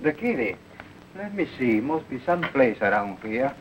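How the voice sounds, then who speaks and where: An actor playing a wary crook who humours a child in a coaxing way, in a 1930s film with muffled, noisy sound. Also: male voice, mid-range voice, sing-song, moderate pace, close to an everyday voice. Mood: playful, indulgent.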